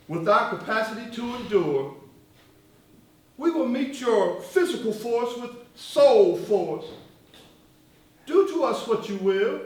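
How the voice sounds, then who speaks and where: An actor playing a fiery, sardonic political leader in a stage play, with an oratorical, sarcastic, theatrical delivery. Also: male voice, high voice. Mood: impassioned, mocking. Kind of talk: one-act stage play dialogue. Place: live theatre performance, recorded from far off. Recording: distant, very echoey stage hall.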